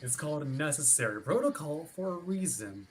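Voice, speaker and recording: An actor as officious, animated ship watch officer. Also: mid-range voice, brisk, a little hiss or hum, lightly acted. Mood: politely insistent. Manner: formal, wordy, over-polite. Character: officious ship watch officer, chipper, rule-bound, politely stubborn. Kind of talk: staged reading of a play over video stream.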